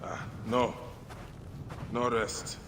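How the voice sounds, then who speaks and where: An actor playing a curt, brooding, determined warrior bent on revenge in a video game. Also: male voice, mid-range voice, level tone, drawn-out words, in a large hall. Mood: grim. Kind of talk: dramatic video game acting. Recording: noisy game scene with ambience.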